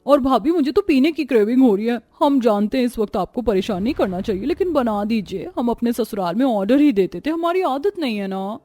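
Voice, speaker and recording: A woman acts out a haughty, pampered young sister-in-law in a cartoon family story. The voice is high, whiny and entitled, always wheedling or ordering. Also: lively intonation, a little fast, hardly any pauses, warm tone. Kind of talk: acted Hindi cartoon moral story.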